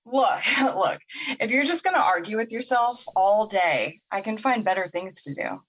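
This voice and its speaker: A woman's voice: mid-range voice, expressive, normal speed, fairly bright tone, low-quality recording. A woman playing a bitter, sarcastic young woman in a naturalistic audio drama, exasperated in manner. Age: young adult.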